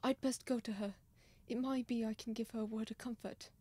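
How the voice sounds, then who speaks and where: A woman's voice: a little high, steady tone, fairly quick, warm tone, lightly acted. A woman playing a pious, timid, kindly old male servant, lamenting in old-fashioned radio drama style.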